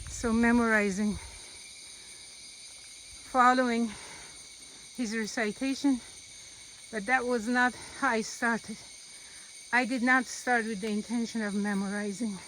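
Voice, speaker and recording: A woman's voice: a little high, a bit bright, slight background noise, poor audio. A woman teaching about the Quran while walking a rainforest trail, speaking earnestly and unhurriedly and repeating phrases for emphasis. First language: not Arabic. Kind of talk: walking vlog talk.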